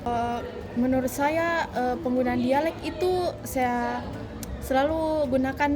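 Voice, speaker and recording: A woman's voice: high voice, fairly even intonation, a little slow. A young woman student, answering casually and hesitantly with fillers. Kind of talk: casual interview and skit.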